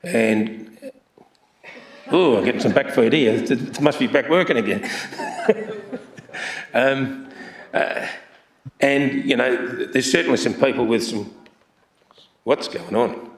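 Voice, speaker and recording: A man speaking as a councillor at a council meeting, plain-spoken and halting, often repeating his words. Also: mid-range voice, vocal fry. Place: council chamber. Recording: echoey council chamber.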